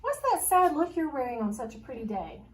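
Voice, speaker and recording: A woman's voice: sits high, big pitch swings, a little fast, voice pushed up. A woman voicing a genteel, folksy porch-sitting neighbour lady in an emphatic sing-song. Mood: sing-song greeting. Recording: noisy, distant microphone.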